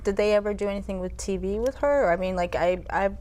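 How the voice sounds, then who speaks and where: A woman's voice: mid-range voice, fast, a little mellow. A woman hosting a TV talk show, interviewing in an informal, hesitant way. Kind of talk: casual interview.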